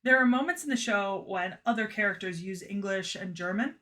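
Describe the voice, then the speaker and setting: A woman's voice: medium pitch, brisk, fairly bright tone. A woman co-hosting a casual anime discussion, chatty and full of "like" fillers. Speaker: podcast co-host.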